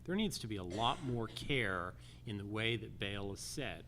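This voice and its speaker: A man's voice: lowish voice, animated, moderate pace, a bit bright. A man speaking as a judicial candidate at a forum, making emphatic, repetitive points in lists. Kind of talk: campaign forum answers, public speaking. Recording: live forum with faint background noise.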